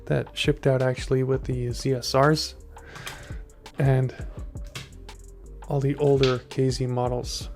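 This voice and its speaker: A man's voice: mid-range voice, gravelly, darkish tone. A man hosting a casual product review, talking conversationally and directly to his viewers. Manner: conversational, addresses viewers as guys. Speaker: tech reviewer, channel host. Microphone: USB desktop condenser microphone.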